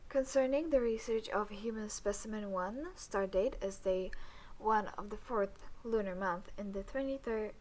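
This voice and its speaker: A woman playing a cool, clinical female alien doctor who teases her human captive in a roleplay audio drama, speaking in a deadpan, halting way. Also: mid-range voice, natural voice, low-quality recording.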